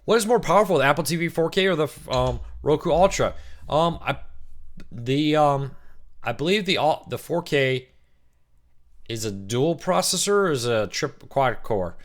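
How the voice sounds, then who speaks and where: A man hosting a casual weekly live-stream Q&A, greeting viewers and answering their questions, his voice a little rough from cheering. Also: on the high side, animated, normal speed. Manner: chatty, reads out viewer comments and greets them by name.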